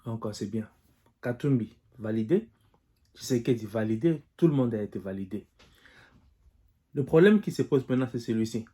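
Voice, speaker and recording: A man delivers political commentary on his own. His voice is mellow and warm, set at an ordinary pitch for a man. He talks in a measured, deliberate way, thinking aloud and turning his points into questions for his listeners. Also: lively intonation. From DR Congo. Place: living in the United States.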